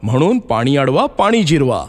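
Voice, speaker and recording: A man's voice: mid-range voice, sing-song, normal speed. A man narrating a scripted children's story as a storyteller. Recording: music behind the voice.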